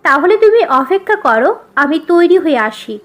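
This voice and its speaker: A woman's voice: very high voice, sing-song, moderate pace, fairly bright tone, faint music behind, full character voice. A woman playing a concerned, protective young sister-in-law in an audio story drama. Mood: agreeable.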